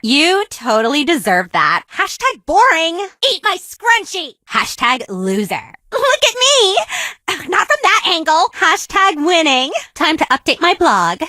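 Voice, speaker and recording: A woman's voice: high-pitched, pushed and bright, no room sound, exaggerated voice. A woman voicing a vain young social media diva in a video game, sassy and whiny in teen slang. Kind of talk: video game character catchphrases.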